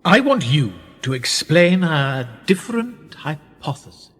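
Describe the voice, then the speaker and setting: A man acting a husky, sly conspirator with swooping delivery. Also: a little high, sing-song, full character voice. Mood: intent. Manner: theatrical, insinuating, drawn-out phrases, often hushed. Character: sly, persuasive older male conspirator, menacingly teasing. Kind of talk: radio play acting. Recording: echoey hall-like reverb.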